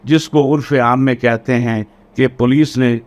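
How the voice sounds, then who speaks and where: A man with a Pakistani accent giving an explanatory lecture as a teacher, deliberate, repeating and rephrasing key phrases. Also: medium pitch, fairly even intonation, darkish tone, highs cut off. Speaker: law lecturer. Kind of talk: explanatory exam lecture, code-switching between Urdu and English legal terms.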